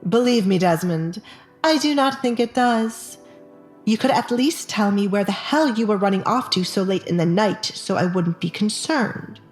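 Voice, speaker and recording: A woman playing a sharp-tongued, calculating wife who speaks insistently in an audio drama. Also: mid-range voice, expressive, moderate pace, soft background music, natural voice. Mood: indignant. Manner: scolding, insistent.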